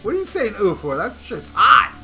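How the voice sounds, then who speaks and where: A man's voice: high-pitched, huge pitch swings, normal speed. A middle-aged man hosting a podcast, telling a long crude story in a rambling, profane way with stumbling restarts. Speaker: podcast host. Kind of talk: casual storytelling. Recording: music playing clearly underneath.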